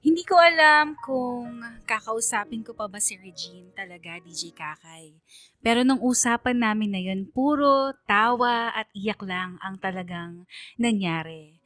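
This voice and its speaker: Sincere, troubled young storyteller. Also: female voice, fairly high pitch, expressive, a little slow, fairly bright tone, no room sound, faint music behind. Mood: wistful, confiding. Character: troubled, sincere young woman telling her own story. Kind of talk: first-person radio drama narration.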